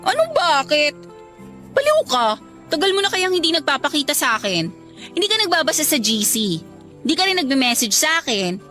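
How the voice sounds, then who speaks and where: A woman's voice: high-pitched, very animated, fairly quick, pushed and bright, soft background music, full character voice. A Filipino woman playing a chatty, clingy young bride-to-be in a Tagalog radio romance drama, gushing and teasing. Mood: pouting, teasing.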